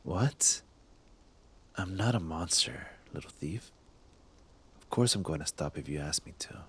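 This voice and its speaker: Man acting a slow, low-voiced smug cop. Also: lively intonation, heavily acted. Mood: probing, softening. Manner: teasing, intimate, halting, drops into breathy murmurs. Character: smug young male cop, secretly smitten with thief. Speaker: voice actor in audio roleplay. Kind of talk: ASMR roleplay acting, addressed to the listener.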